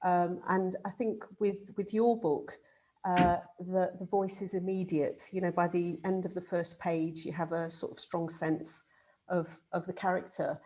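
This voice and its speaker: A woman's voice: fairly low pitch, little pitch movement, measured pace, a little mellow, a little hiss or hum. Hesitant woman interviewer. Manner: hesitant, with many fillers and repeated words. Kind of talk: interview questions.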